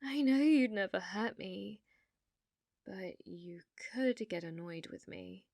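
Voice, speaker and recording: A British woman playing an obsessive, manipulative, adoring girlfriend in an intimate ASMR roleplay, soft and breathy, often dropping into whispers. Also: medium pitch, expressive, no echo. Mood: tender, manipulative. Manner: slow, coaxing, intimate.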